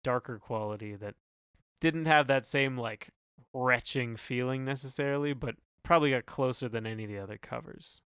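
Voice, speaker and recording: Male co-host with a smooth mid-range voice, close dry sound, wry and casual, feeling out his phrasing. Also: medium pitch, moderate pace, a bit bright, no echo. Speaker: podcast co-host. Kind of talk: casual chat.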